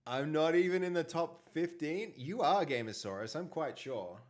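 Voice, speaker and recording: A man hosting a casual live stream, talking with a big, loud voice and rambling, repeating himself. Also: a little high, lively intonation, moderate pace.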